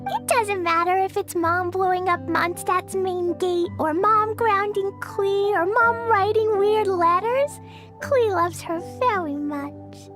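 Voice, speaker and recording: A woman voicing a cheerful, playful little girl for a video game, with guileless, childish, gushing delivery. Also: extremely high pitch. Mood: happy, affectionate. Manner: childish simple phrasing, drawn-out, gushing. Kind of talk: animated video game voice acting. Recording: music behind the voice.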